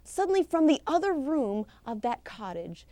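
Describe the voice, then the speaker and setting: A woman telling a folktale live as a professional storyteller, expressive and theatrical, with deliberate pauses and emphasis. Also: high voice, dry recording. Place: live storytelling session at a conference.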